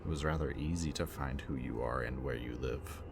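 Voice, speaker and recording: A man playing a bitter, remorseful villain in an audio roleplay, confessing in a low, intimate voice that sometimes drops to a whisper. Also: a little fast, creaky. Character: remorseful bitter male villain, young adult. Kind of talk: audio roleplay addressed to the listener. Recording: steady ambient background noise.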